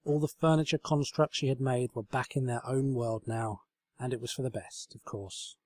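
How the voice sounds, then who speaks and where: A man's voice: mid-range voice, steady tone, normal speed, a little mellow, no echo. A man reading a story aloud as narrator, in a scripted storybook style. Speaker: fan fiction reader, narrator.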